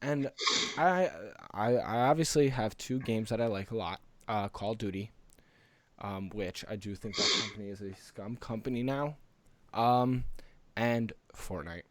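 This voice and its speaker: An American man co-hosting a podcast, chatting casually and haltingly, often repeating himself. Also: on the low side, no room sound. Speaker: podcast co-host. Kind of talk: casual podcast chat about news and games.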